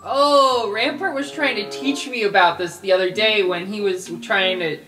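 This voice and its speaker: Woman playing a chatty, naive student, mid-pitched voice. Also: normal speed, a bit bright, soft background music, lightly acted, low-quality recording. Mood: curious, naive. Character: naive, upbeat, eccentric student Shelly, roommate of a rabbit.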